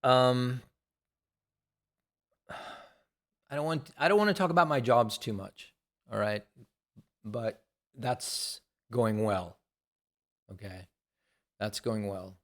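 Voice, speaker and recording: A man's voice: medium pitch, slow, long pauses, a bit bright. Guy in his 60s just rambling to the camera, says stuff twice, swears a lot, regular middle voice. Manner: repeats phrases, swears casually. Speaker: vlogger. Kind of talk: casual rambling monologue.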